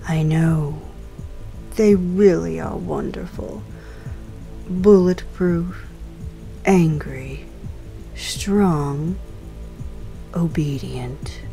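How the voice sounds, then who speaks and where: A woman playing a coolly polite, menacing crime boss in an audio drama, speaking in a deliberate, mockingly genteel way. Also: low-pitched, gravelly, mellow, exaggerated voice. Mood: smug. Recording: music and rain under the voice.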